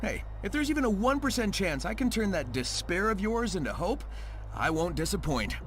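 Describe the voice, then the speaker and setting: A man's voice: high voice, sing-song, normal speed, a bit bright, slight background noise. A man voicing a cocky, upbeat young hedgehog hero in a video game, with an American accent and a breezy, casual delivery. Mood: upbeat, determined. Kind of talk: video game cutscene voice acting.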